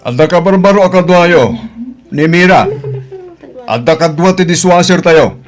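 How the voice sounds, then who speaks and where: A man's voice: a little high, normal speed, soft background music, close to an everyday voice. A man acting a helpful middle-aged uncle and restaurant boss in an audio drama, with an Ilocano Filipino accent and a matter-of-fact, advising manner. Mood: businesslike.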